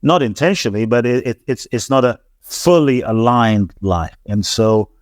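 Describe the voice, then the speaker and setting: A man's voice: mid-range voice, moderate pace, no room sound, studio quality. A man who grew up in London, a teacher talking as an interview guest in an earnest, reflective way with many restarts and repeated words. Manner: earnest, repetitive, frequent restarts and stammered repeats. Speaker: transformational teacher, podcast guest.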